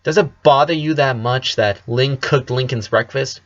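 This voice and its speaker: A man's voice: mid-range voice, moderate pace, a bit bright, muffled recording, lightly acted. A man voicing a firm, protective teenage eldest sister in a story reading. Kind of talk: storybook character voice.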